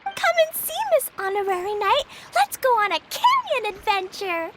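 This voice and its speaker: A woman's voice: extremely high pitch, sing-song, normal speed, heavy background noise. A woman voicing an eager, playful, adventurous little girl mage in a video game. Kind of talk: animated video game voice acting.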